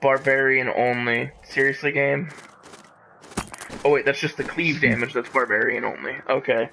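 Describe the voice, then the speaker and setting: A man's voice: mid-range voice, steady tone, normal speed, vocal fry, pushed and bright, a little hiss or hum. A man giving casual, jokey live commentary as he streams a game, with mock drama. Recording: game music behind the voice. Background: video game music.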